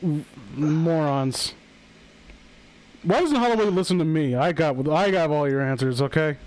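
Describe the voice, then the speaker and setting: A man's voice: on the high side, measured pace, a little mellow, low-quality recording. A man hosting a live stream, chatting casually and bluntly about films, rambling and swearing as he gives opinions.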